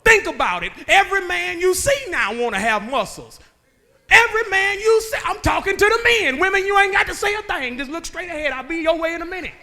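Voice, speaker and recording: A man, preaching a sermon that moves between joking talk and shouted calls to the men. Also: very high voice, big pitch swings, a little fast. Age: 30s. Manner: conversational preaching that builds to shouting, with laughs and rhetorical questions. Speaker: guest preacher. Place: church sanctuary.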